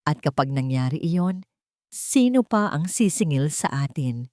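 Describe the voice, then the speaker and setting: A woman's voice: low voice, big pitch swings, a little slow, a little mellow, no echo, clean studio sound. The spoiled, scheming daughter of a crime family in a radio serial, sneering coldly and smugly at her victims, as a woman narrator plays her. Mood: smug, scornful. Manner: mocking, cold, smug. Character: scheming, contemptuous young female villain, rich heiress.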